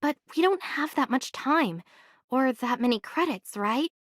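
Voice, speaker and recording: A woman voicing a practical, inquisitive young female adventurer in an anime game, speaking in a casual, measured way. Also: high voice, expressive, no echo, studio quality. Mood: curious, focused. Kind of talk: anime video game voice acting.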